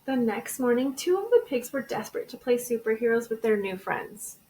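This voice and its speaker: A woman teacher reading a children's storybook aloud in an expressive way. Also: a little high, brisk, poor audio. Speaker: elementary school teacher.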